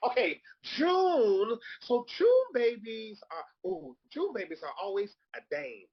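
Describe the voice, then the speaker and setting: Casting: male actor as sassy, gossipy drag queen, theatrical drawn-out lines, lively swoops, slightly raspy, dull recording. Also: very high voice, heavily acted. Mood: teasing. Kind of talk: comedic live table read. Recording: dull lo-fi audio.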